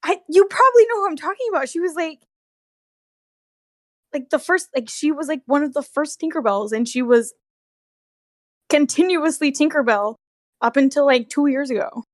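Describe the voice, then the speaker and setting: A woman's voice: high voice, lively intonation, normal speed, pauses often, no room sound, clean studio sound. A young woman telling stories in a casual, gossipy way, with slangy, sweary speech peppered with "like". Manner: sweary, slangy, heavy use of "like". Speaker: former theme park character performer telling stories.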